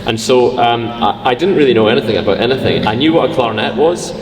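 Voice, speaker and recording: Middle-aged Scottish conductor, self-deprecating, heard from afar in a reverberant hall. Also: male voice, mid-range voice, animated, slight background noise. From Scotland. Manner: self-deprecating, halting with restarts. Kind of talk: conversational interview storytelling. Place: concert hall stage. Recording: echoey hall, from a distance.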